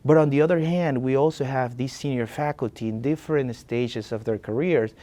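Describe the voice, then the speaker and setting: A man in his thirties from Ecuador, a neurosurgeon, speaking earnestly about his work with frequent pauses. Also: mid-range voice, dark tone.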